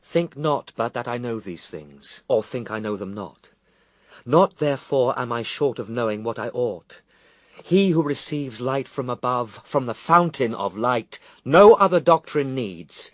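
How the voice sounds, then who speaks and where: A righteous, solemn divine figure in a radio play of epic verse. His deep-set conviction comes through in measured, declamatory phrases at a slow pace. The pitch sits a little low, and the sound is dry. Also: dry recording. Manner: grave, measured, declamatory, assured. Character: solemn, righteous male Son of God rebuking the tempter. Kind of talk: BBC radio drama verse recitation.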